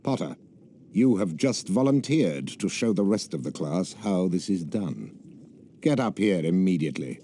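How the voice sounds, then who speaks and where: Very deep, creaky, sneering British schoolmaster. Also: male voice, expressive, mellow, a little hiss or hum. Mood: sneering, commanding. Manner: slow, deliberate, condescending delivery. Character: stern, sneering male potions teacher at wizard school.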